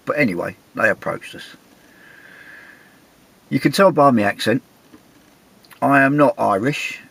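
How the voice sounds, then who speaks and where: An English man, middle-aged, with a gravelly, husky voice, telling a travel story in a chatty, wry, self-mocking way. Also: on the low side. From England. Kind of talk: casual anecdotal storytelling.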